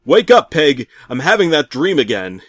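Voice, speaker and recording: A man's voice: on the high side, sing-song, moderate pace, fairly bright tone, no room sound, studio quality, pitched above the performer's own voice. A man playing a hapless, crude husband caught up in a comic horror story. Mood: panicked. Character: hapless, cowardly, crude husband narrator in dialogue.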